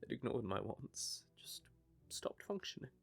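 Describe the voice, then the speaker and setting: A man playing a young knave who tells his story in a wry, rambling way, with dark humour. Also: medium pitch, big pitch swings, unhurried, vocal fry, slightly rough, exaggerated voice. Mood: grieving. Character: young male knave, wry, haunted by grief. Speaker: confessing rogue. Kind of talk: hobby audio drama monologue.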